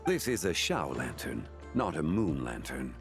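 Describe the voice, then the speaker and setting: A dignified, age-old male god in a video game. The voice is deep and deliberate, with a broad melodic range. Light music lies behind it. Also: heavily acted. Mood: calm, correcting. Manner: calm, deliberate. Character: calm, dignified ancient male god, patient and solemn.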